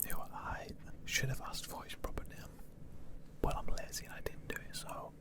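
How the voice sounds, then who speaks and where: Man whispering softly. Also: moderate pace. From Lake District, northwest England. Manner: soft unvoiced whisper, rambling with fillers. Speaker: ASMR creator answering viewer questions. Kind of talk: whispered ASMR Q&A.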